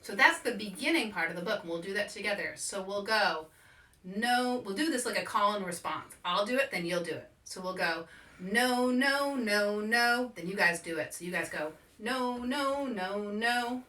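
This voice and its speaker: A woman hosting a children's story time as an author, giving simple, encouraging call-and-response instructions with animated, repeated action words. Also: mid-range voice, moderate pace. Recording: roomy echo, distant microphone.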